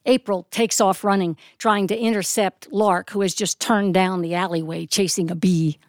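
A female storyteller delivers a polished live narrative. Her phrasing is deliberate and descriptive, with expressive emphasis and dramatic pauses. The sound is dry, with very little room echo. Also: medium pitch.

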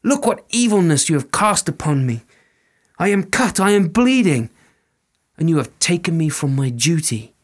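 A man's voice: on the high side, expressive, measured pace, vocal fry, pitched above the performer's own voice. A man voicing a wrathful fairy woman. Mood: stern, wrathful. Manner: stern, pronouncing curses.

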